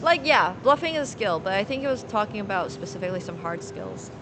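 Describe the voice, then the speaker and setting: Woman chatting over clear rumbling noise. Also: animated, fast. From Finland. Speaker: live streamer. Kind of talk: casual chat while playing poker, answering viewers.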